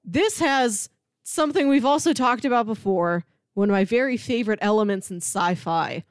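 A woman with a fairly high, very lively voice co-hosts a casual pop culture podcast. She gushes like an excitable fan, with pitch moving freely up and down. The sound is close and dry. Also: normal speed, dry recording. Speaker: podcast co-host.

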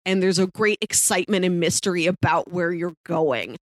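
A woman's voice: on the low side, moderate pace, no room sound. Dry-sounding woman in casual review chat. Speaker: podcast co-host.

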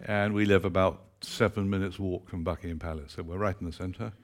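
A man preaching to a church congregation, mixing dry teasing humour with steady scripture reading. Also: deep voice, fairly quick. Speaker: guest preacher. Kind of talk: sermon. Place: church auditorium.

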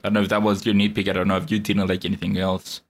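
A man's voice: low-pitched, completely flat delivery, very fast. A young man co-hosting a podcast in casual chat, earnestly explaining a film's story at length. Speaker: podcast co-host.

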